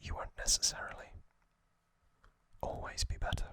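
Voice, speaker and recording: A man hosting ASMR, narrating in hushed whispers with frequent pauses and a gentle, reassuring manner. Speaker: ASMR host.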